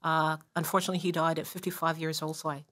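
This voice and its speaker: A woman in her sixties with a slightly low voice shares her family history as a podcast guest. She talks in a relaxed, conversational way and often checks in with her listener. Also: quick pace. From Montreal, Canada. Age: 60s. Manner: conversational storyteller, frequent "uh" and "right?". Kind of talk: casual interview. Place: community studio.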